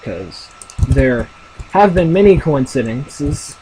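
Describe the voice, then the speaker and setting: A young man casually commenting on his own game as he plays, thinking aloud with lots of fillers and a deep voice. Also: big pitch swings, slow pace, mellow, a little hiss or hum, low-quality recording. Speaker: gamer narrating his play.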